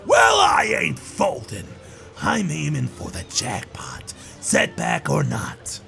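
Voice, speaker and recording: A man voicing an arrogant, greedy goblin trade prince with a gravelly, sharp voice and a blustering, insulting manner in a fan audio drama. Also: on the high side, wildly swooping pitch, slow, faint music behind, heavily acted. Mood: defiant scorn.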